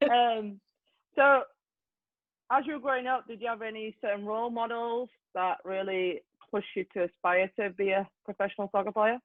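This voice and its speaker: A woman's voice: fairly high pitch, fairly even intonation, moderate pace, no echo. A woman coach with an English accent hosting a video call Q&A, chatty and often saying "okay". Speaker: youth soccer coach, host. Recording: tinny, dry video call audio.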